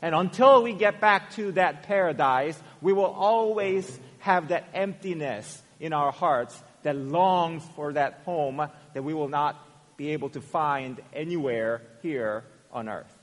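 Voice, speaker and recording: A man in his forties from South Korea with a Korean accent, preaching a sermon as pastor, earnest, stressing words and then softening at the ends of phrases. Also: fairly high pitch, a little slow. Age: 40s. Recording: long hall reverb.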